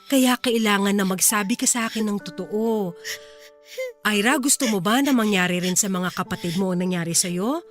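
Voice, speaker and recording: Firm, protective female social worker played by an actress, radio drama. Medium pitch, earnest and insistent, light music underneath. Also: moderate pace, natural voice. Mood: earnest, reassuring.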